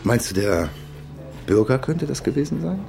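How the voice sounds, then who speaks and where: Ein Schauspieler spielt in einem Krimi-Hörspiel einen unbeholfenen, zögerlich ausweichenden Mann. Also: male voice, mid-range voice, expressive, moderate pace, gravelly, over music. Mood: probing. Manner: hesitant, awkward, evasive. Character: awkward, evasive man snooping on a date. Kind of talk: radio crime drama dialogue.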